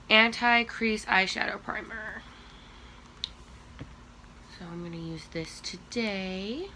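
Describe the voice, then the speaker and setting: A young woman speaks slowly and chattily, as if walking viewers through her makeup while she works. Her voice is a little sniffly from allergies, and a clear layer of background noise and hiss runs under it. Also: medium pitch, sharp tone, poor audio. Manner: slow, chatty, talking while applying makeup. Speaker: beauty vlogger. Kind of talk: makeup tutorial talk.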